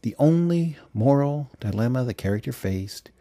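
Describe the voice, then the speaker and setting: Man reading as a mellow, self-absorbed agent, ruminating. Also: medium pitch, expressive, normal speed, no echo, studio quality, close to an everyday voice. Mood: indignant, rambling. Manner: ruminating, rambling, self-justifying. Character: self-absorbed male government agent musing in a voice memo. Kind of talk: confessional monologue in an audiobook.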